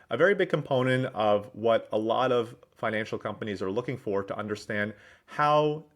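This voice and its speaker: A man narrating a business software demo in a businesslike, explanatory way, with wordy explanations. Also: on the low side. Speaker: presenter, managing director at a software consultancy.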